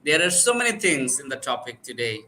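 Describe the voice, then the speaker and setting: A man hosting an online interview, speaking haltingly with many fillers and restarts. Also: mid-range voice, big pitch swings, pushed and bright, slight background noise. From Bangladesh. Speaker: host.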